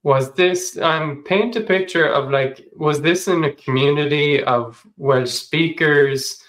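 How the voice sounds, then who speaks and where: Casual Irish interviewer. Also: male voice, on the high side, slow, a bit bright. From Ireland. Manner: casual and searching, with many fillers. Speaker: podcast host. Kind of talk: interview, conversational.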